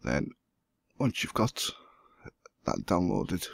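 Sick hoarse guy doing a pc tutorial, raspy deep voice, calm step by step. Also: takes it slowly, dry recording. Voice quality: gravelly, husky. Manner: plain step-by-step explaining. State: hoarse from flu, losing voice. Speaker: YouTube gaming channel host. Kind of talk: screen-recorded tutorial.

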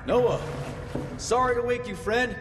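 An actor playing an urgent, emotional survivor in a dramatic video game cutscene. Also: male voice, very high voice, unhurried. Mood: hushed concern. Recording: reverberant hall, loud music underneath.

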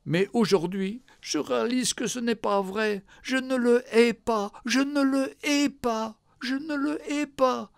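Brief: a man playing a distraught grown daughter, high and pleading, lively pitch. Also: slow pace, gravelly, no echo, clean studio sound, full character voice. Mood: anguished.

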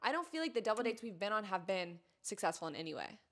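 A woman's voice: medium pitch, sing-song, quick pace, fairly bright tone. A young adult woman co-hosting a podcast, chatting casually with a friend into a close handheld mic. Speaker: podcast co-host. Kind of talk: casual chat between friends. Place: small bedroom, sitting on a bed. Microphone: handheld microphone held close.

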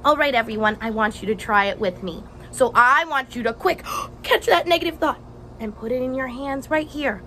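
A young woman teacher reading a picture book aloud to children outdoors, expressive and encouraging, with questions and exaggerated emphasis. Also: sits high. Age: young adult. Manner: expressive, asks questions and pauses for answers. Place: outdoors on a house porch. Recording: faint outdoor background noise.

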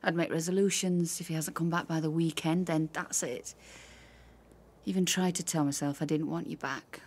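An actress playing a hopeful, forgiving wife in a radio drama, speaking softly and intimately. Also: female voice, sits low, fairly even intonation, moderate pace, breathy edge. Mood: wistful. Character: hopeful, forgiving wife taking her husband back. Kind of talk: naturalistic radio drama acting.

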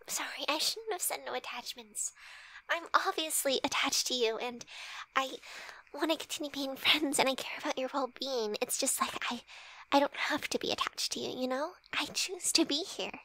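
A woman playing a flirty, shy, teasing best friend in an intimate ASMR roleplay, with breathy, hesitant, soft speech. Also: high voice, moderate pace, no echo, poor audio. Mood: tender, shy. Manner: breathy whispering, hesitant, giggly.

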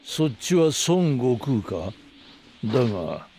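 An actor playing a terse, calm, grave male fighter in an anime game. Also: mid-range voice, slow pace. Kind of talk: Japanese anime game voice acting. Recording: clear background noise.

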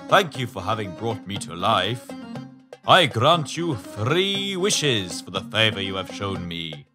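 Cartoon genie: grand, jovial, very bright, drawn-out delivery, big laugher. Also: male voice, on the low side, sing-song, soft background music, exaggerated voice. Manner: laughs heartily, slow grand pronouncements. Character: booming jovial male genie, grateful and grand. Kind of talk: children's cartoon acting.

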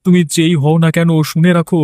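A man plays a stubborn, cowardly village scavenger in a horror cartoon. The voice is high and quick, excitable and blustering. The sound is dry. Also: no room sound, natural voice. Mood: defiant bluster.

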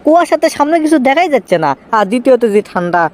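A man's voice: very high voice, very animated, very fast, a little mellow. A man voicing a whiny, sulky young boyfriend in a comic cartoon, with a Bogura accent from northern Bangladesh. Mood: scheming, evasive. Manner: pleading, stubborn, breaks into teasing song.

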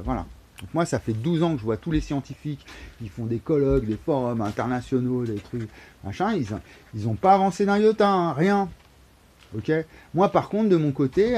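A man's voice: medium pitch, darkish tone. A man, rambling to a phone camera in a wooden shed, repeating words and piling on fillers with sudden emphatic bursts. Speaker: vlogger. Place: wooden shed or barn, indoors. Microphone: phone camera held low at arm's length.

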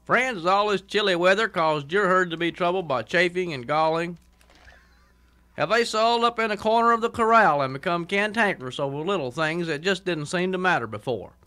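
Man doing a bright, high-pitched cowboy radio pitchman. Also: animated, normal speed. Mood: hearty sales pitch. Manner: declamatory, salesmanlike. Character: folksy old-time cowboy radio pitchman selling livestock ointment. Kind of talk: mock radio commercial.

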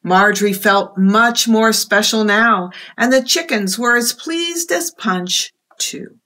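A woman in her fifties reading aloud at storytime for young children, expressive and deliberate, in a small room. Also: mid-range voice.

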